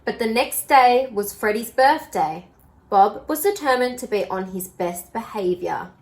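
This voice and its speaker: A woman storyteller reading a children's picture book aloud in an expressive style. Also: fairly high pitch, measured pace.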